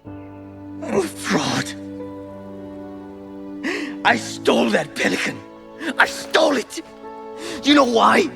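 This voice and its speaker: An actor playing a scared, self-loathing pilot in a video game, speaking with bitter anguish as if breaking down. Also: male voice, very high-pitched, wildly swooping pitch, slow pace, vocal fry, long pauses, music in the background, exaggerated voice. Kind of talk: dramatic video game cutscene acting.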